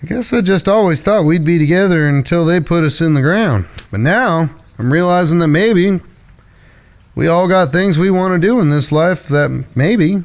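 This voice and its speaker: A man's voice: fairly high pitch, animated, normal speed. A man playing an earnest, protective younger brother in a cowboy gang, plainspoken and folksy, in a western audio drama. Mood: pensive, worried.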